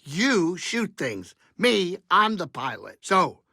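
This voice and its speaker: An actor voicing a grumpy, wisecracking older alien pilot and cook in a video game, sarcastic and blustery. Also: male voice, high voice, sing-song, slow, vocal fry, pushed and bright, no echo, clean studio sound, full character voice. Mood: indignant, sarcastic. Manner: sarcastic, blustery, grumbling banter with exasperated outbursts. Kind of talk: video game character dialogue.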